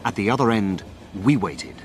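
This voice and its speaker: A detective's loyal friend recounting an adventure in a radio drama. He is grave and measured yet widely varied in pitch, over clearly noisy ambience. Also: very animated. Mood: tense. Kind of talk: scripted first-person drama narration. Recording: clear background noise, rumbling ambience.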